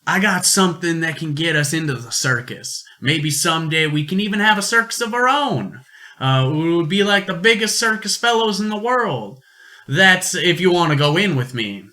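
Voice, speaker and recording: A man's voice: on the high side, lively intonation, normal speed, bright, forceful tone. A man voicing an eager, secretive young farm boy with a boastful, folksy manner in an amateur radio-play reading. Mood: eager, coaxing.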